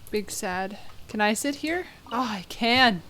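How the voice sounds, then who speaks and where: A woman's voice: fairly high pitch, expressive, slow pace, background noise. A young woman giving casual, slangy gameplay commentary as a streamer, reacting playfully and expressively. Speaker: game streamer.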